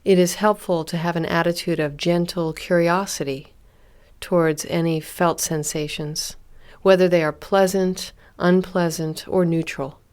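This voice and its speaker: Woman doing a guided body scan, kinda low voice, gentle n calm, takes her time w long pauses. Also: smooth. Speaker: meditation instructor.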